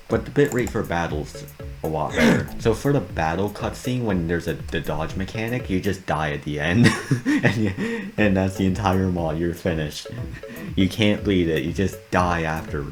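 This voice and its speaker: A man's voice: deep voice, big pitch swings, unhurried. A man giving casual, amused and reactive gaming commentary, talking through what he does as it happens. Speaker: video creator narrating a game modding experiment. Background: background music.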